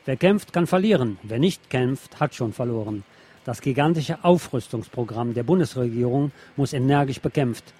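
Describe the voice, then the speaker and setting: A man's voice: mid-range voice, expressive, moderate pace, dark tone, breathy edge. A man reading scripted political news and commentary as a radio presenter.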